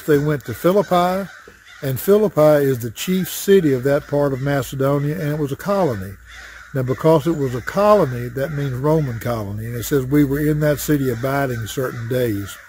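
An older man teaching a Bible study lesson outdoors in a wooded yard, talking in a plain, folksy way with frequent pauses, recorded on a camera at chest height. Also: on the high side, a little mellow, slight background noise. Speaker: associate pastor teaching Sunday school. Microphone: webcam or phone framed at chest height. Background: crows cawing.

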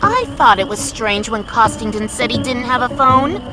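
A woman's voice: on the high side, expressive, moderate pace, natural voice, low-quality recording. A woman voicing a skeptical, bookish teenage girl detective in a cartoon video game, matter-of-fact as she works out clues. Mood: skeptical, deducing. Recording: music behind the voice.

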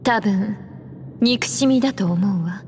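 A woman's voice: medium pitch, a little slow, darkish tone, a little hiss or hum, lightly acted. A woman voicing a composed, knowing, alluring witch librarian in an anime game. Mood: grave, explaining. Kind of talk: Japanese anime game dialogue, explaining lore. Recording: long hall reverb.

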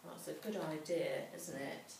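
A middle-aged woman reading a story aloud to a child, dramatically, with pauses and questions. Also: low-pitched, creaky. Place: large indoor school room with hard floor. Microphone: distant camera microphone. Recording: echoey room, distant mic, background noise.

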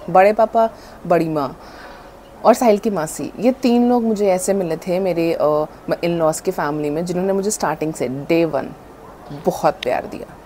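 Chatty woman vlogger, low voice. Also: mellow, slight background noise. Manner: chatty, mixing Hindi and English. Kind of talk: casual vlog chat. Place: outdoor patio at home. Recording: background music.

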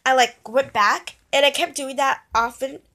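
Young female vlogger, kid to early teens, higher-pitched voice, dramatic and chatty storytime delivery, recorded on a handheld phone indoors. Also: moderate pace. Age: child or young teen. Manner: animated, dramatic storytelling with mimicked reactions. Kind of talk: casual storytime vlog chat. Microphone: phone at arm's length.

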